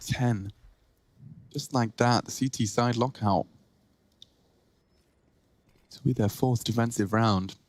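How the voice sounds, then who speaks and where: A man with a British accent giving lively play-by-play commentary on an esports match. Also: mid-range voice, takes it slowly, pauses often.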